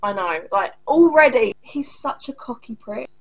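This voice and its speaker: Woman, 30s, higher voice, home-desk reaction host; sarcastic, sweary, blunt. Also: animated, moderate pace, noisy background. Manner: angry, profane, blunt and sarcastic. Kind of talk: casual reaction commentary. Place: small home room indoors.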